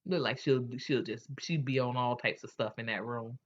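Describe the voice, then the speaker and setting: A woman's voice: a bass voice, moderate pace, highs cut off. A woman hosting a casual chat, candid and chatty, often restarting her sentences. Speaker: host.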